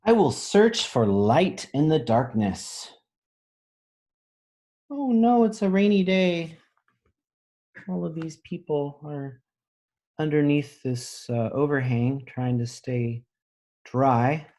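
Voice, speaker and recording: Slow-paced man reading aloud to children. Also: on the high side. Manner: expressive reading, pausing to explain simply to children. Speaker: elementary school teacher reading aloud. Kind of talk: children's picture book read-aloud with explanations.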